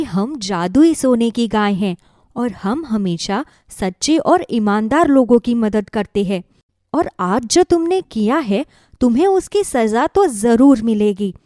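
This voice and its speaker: A woman plays a righteous golden cow from a children's fairy tale. She is loud, stern and commanding, with a high female voice in a dry room. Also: no room sound.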